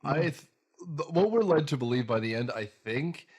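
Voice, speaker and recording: Excitable joking podcast guy. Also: normal speed, crowd noise. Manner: excitable, jokey, trails off low at ends. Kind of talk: casual movie-review banter.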